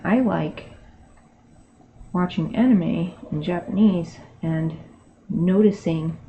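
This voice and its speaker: A woman live-streaming her digital painting from her bedroom, chatting casually and talking to herself as she works. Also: lowish voice. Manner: chatty, laughs often, talks to herself while working. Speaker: digital artist livestreaming. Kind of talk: casual chat while drawing. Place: bedroom at home. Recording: dull lo-fi stream audio with faint hiss.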